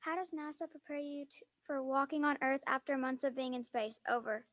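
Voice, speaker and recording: A woman's voice: high voice, fairly quick, a bit bright. A female student asking prepared questions during a radio contact. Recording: very noisy radio contact audio, clicks.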